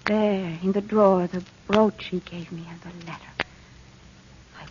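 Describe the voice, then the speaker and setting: A woman's voice: on the low side, steady tone, a little slow, close to an everyday voice. An actress playing a fragile, frightened wife who doubts her sanity, in a hesitant, pleading 1940s radio drama style. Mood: anxious. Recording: muffled, noisy old radio recording.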